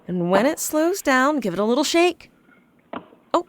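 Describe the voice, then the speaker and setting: A woman's voice: sits high, sing-song, moderate pace. Woman playing cheery home cook explaining stuff in an audio drama, chatty, noisy background. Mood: cheerful. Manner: instructive, chatty. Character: cheerful home cook making stovetop popcorn.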